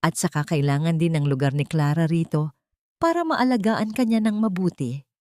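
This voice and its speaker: A woman's voice: low-pitched, lively intonation, moderate pace, a little mellow, no room sound. Woman narrator as controlling husband, sugary fake sweetness, pushy manner, audio storybook dialogue, dry sound. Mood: smooth, falsely caring.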